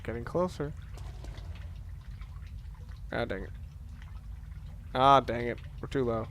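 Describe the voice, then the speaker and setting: A man's voice: medium pitch, takes it slowly, a bit bright. A young man giving casual, rambling commentary as he plays a video game, often interrupting himself. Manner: rambling, self-interrupting, conversational. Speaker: let's play host. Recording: faint background noise, game sounds underneath. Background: video game sound.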